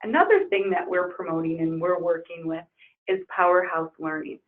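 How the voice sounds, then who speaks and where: A woman trainer losing her voice, leading an upbeat software webinar walkthrough. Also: fairly low pitch, flat delivery, moderate pace. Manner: upbeat, enthusiastic promoting. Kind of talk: webinar screen-share walkthrough. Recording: tinny phone-like webinar audio.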